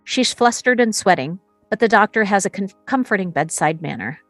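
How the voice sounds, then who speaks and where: A woman reading screenplay action lines as scripted narration, in a storytelling way with short pauses. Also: medium pitch, expressive, dry recording, faint music behind. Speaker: stage directions reader.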